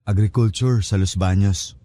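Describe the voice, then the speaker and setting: Man playing hesitant young student guy in radio drama, dark low voice, bit of noise. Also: fairly even intonation, measured pace, close to an everyday voice. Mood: uneasy, reluctant. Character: reluctant young male college student, secret lover.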